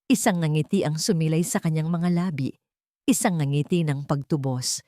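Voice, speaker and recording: A Filipino-accented woman tells a sentimental drama story from a script. Her voice sits on the low side for a woman. The sound is dry, with very little room around it. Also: moderate pace, dark tone, no echo.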